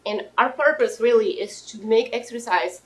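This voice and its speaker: A woman's voice: on the high side, moderate pace. A woman in her thirties from Russia, giving a friendly, informal presentation of her fitness business to an audience, with restarts and fillers. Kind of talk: pitch presentation to a live audience.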